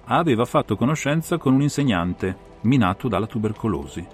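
A man performing an analytical gentleman detective recounting a solved case in a dramatized audiobook, with measured, theatrical phrasing. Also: medium pitch, animated, soft background music, natural voice. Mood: measured, explanatory. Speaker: audiobook reader and interpreter.

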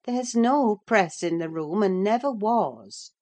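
A woman's voice: mid-range voice, expressive, slow pace, darkish tone, voice pushed up. A woman voicing a sensible, firm female servant in a classic-novel audiobook, matter-of-fact, insistent and chiding as she soothes her mistress. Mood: puzzled, firm.

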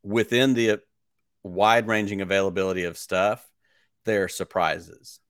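Dry, low-voiced man in his forties. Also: steady tone, moderate pace, fairly bright tone, clear, resonant tone, no room sound. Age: 40s. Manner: enthusiastic, long-winded questions. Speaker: podcast host. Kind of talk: casual interview chat.

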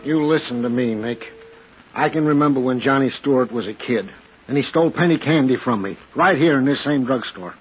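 An actor playing an older, fearful small-town drugstore owner, pleading and insistent, in 1950s radio drama style. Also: male voice, medium pitch, brisk, lightly acted. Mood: pleading, indignant. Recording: dull, slightly noisy 1950s radio recording.

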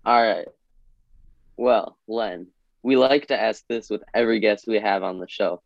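A dull, lo-fi recording of a man co-hosting a casual interview. His voice is fairly low, and the sound is dry with no room on it. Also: a little slow, gravelly, no room sound. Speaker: show co-host.

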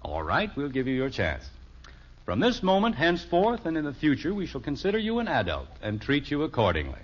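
A man's voice: a little high, wildly swooping pitch, brisk, husky, exaggerated voice. An actor playing an exasperated middle-aged American father in a 1950s radio sitcom, with an emphatic, blustering manner. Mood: amused, smug. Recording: muffled vintage 1950s radio recording.

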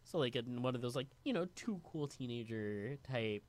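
A man's voice: mid-range voice, expressive, normal speed. Casual male co-host, born in Venezuela, chatty and loose with his words, reading a guest's background between asides. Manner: casual, filler-heavy, reads bio notes aloud. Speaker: podcast co-host. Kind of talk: casual chat.

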